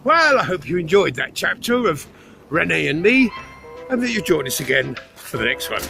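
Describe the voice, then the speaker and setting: A man's voice: on the high side, very animated, moderate pace, vocal fry, bright, forceful tone. A man presenting a scripted audiobook sign-off. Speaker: presenter signing off. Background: music.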